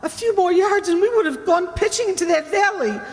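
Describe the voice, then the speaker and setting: An actress playing a loyal, inquisitive, level-headed detective's assistant in a radio drama, expressive and full of questions. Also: female voice, very high-pitched, a little fast. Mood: startled, anxious. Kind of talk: old-time radio detective drama acting. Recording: reverberant hall, noisy ambience.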